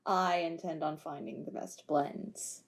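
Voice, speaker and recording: A woman's voice: low voice, steady tone, a little slow, vocal fry, exaggerated voice. A woman in an amateur audio drama playing a wry ship security guard who makes dry, playful quips.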